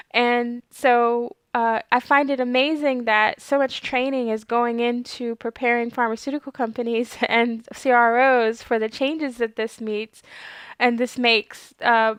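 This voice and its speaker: Soft-voiced woman chatting into a headset, kinda high, smooth clear voice, little laughs here and there. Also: dry recording. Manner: chatty, with light laughs and restarts. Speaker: clinical research consultant and video host. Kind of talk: informal explainer talk to camera. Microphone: headset microphone.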